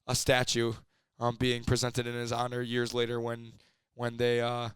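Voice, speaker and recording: A hoarse young man co-hosting a casual podcast in an apartment, rambling with fillers and restarts. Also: medium pitch, completely flat delivery, moderate pace, no room sound. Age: young adult. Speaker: podcast co-host.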